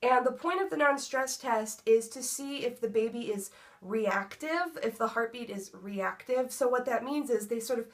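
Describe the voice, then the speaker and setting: A woman's voice: a little high, normal speed. Stuffy-nosed chatty young woman. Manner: chatty, many ums and likes. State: congested, stuffy nose. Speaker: vlogger. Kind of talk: casual pregnancy update vlog.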